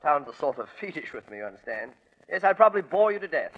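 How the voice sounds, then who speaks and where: An actor playing an eccentric, chatty English gentleman with posh, fussily polite old-fashioned talk in 1940s radio style. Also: a little high, sing-song, fast talker. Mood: affable, brisk. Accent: British. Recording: muffled vintage 1940s radio recording.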